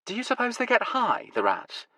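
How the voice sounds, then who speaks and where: A sardonic woman with a teasing, mock-grand turn of phrase, as a male narrator puts her on. Her voice sits high, with a lively rise and fall. The reading sounds dry and close-miked. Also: moderate pace, no echo, heavily acted. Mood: wry.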